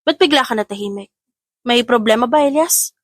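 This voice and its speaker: A woman's voice: on the high side, brisk, dry recording, clean studio sound, close to an everyday voice. A woman with a Filipino accent voicing a proud, guarded businesswoman in an audiobook romance, firm and direct. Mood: concerned.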